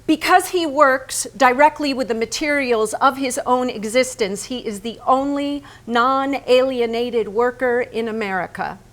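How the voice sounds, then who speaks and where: Earnest woman, solemn stage monologue, fairly high voice, very slow deliberate phrasing, weighty pauses. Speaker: stage actress. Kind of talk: theatrical staged reading of a manifesto-like monologue.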